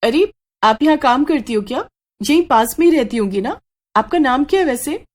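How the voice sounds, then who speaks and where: An excitable young woman from a horror storybook, acted by a female narrator. She speaks in emphatic questions and exclamations, easily upset. Her pitch runs high and moves in lively swoops. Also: normal speed. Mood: insistent.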